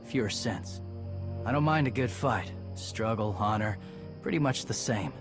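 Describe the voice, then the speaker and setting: A man's voice: lowish voice, a little slow, over music, close to an everyday voice. A male actor playing a stoic, weary warrior in a video game, laconic and understated in clipped phrases. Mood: weary, frank. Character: stoic young male warrior, weary last of his clan.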